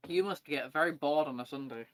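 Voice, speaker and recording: A man's voice: a little high, fairly quick, a bit bright, slight background noise. A man of nineteen, chatting plainly and calling his friends mate. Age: teens. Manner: plain-spoken, calls people mate. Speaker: stream guest. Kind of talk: casual gaming chat.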